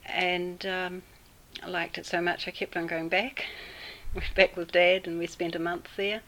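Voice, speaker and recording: A woman with a New Zealand accent telling a personal story in an interview, unhurried and full of pauses. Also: lowish voice, level tone, a bit bright, rough recording. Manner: unhurried, with many fillers and pauses. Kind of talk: casual storytelling.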